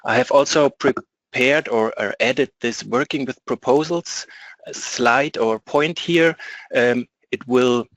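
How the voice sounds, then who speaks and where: A man's voice: mid-range voice, slow, fairly bright tone, dry recording, poor audio. A man with a German accent, a product support specialist presenting a webinar from an office, speaking hesitantly with many ums and uhs.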